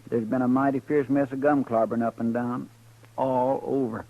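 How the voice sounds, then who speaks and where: A man's voice: mid-range voice, little pitch movement, moderate pace, lightly acted. A man playing a loyal, simple western deputy with a rural American drawl, hesitant and deferential, in a 1950s radio drama. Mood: uneasy, earnest. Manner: hesitant, stammering, folksy. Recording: muffled, noisy old radio recording.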